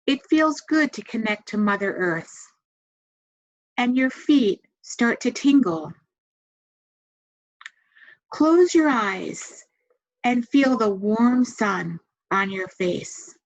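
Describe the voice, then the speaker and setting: Female meditation guide, very slow delivery, soothing and gentle with long pauses, slightly muffled lo-fi recording. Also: on the high side, lively intonation. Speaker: meditation guide and massage therapist. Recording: dull, muffled lo-fi sound.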